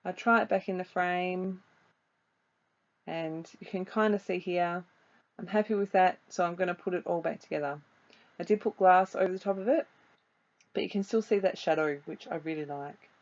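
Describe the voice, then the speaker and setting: A woman with a slightly croaky, rather low voice talks through a voice-over in a relaxed, chatty way, with plenty of ums. The recording is dull and lo-fi. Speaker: crafting video creator. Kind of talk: casual voice-over narration of a craft process.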